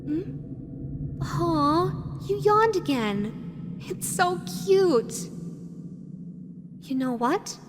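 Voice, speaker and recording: A woman's voice: very high voice, a little hiss or hum, heavily acted. A woman voicing a lonely, possessive animatronic fox with a childlike, sweetly sinister manner, dreamy and lingering, in an audio roleplay. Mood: excited, obsessive. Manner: dreamy, lingering, repeats words softly. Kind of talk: scripted audio roleplay addressed to the listener. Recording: long hall-like reverb, music underneath. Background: background music.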